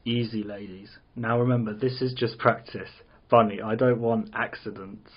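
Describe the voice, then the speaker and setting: Guy playing a cold sinister scientist boss, pretty monotone, controlling, muffled lo-fi table read recording. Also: fairly low pitch, moderate pace, husky. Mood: calm warning. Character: sinister, condescending male villain scientist running cruel tests. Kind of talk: amateur script table read. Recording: dull lo-fi recording.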